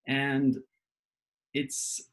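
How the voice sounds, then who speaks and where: A man's voice: medium pitch, monotone, fairly bright tone, rough recording. Thoughtful man from Israel, searching pace. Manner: thoughtful, searching, with frequent pauses and restarts. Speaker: workshop presenter. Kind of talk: reflective personal talk.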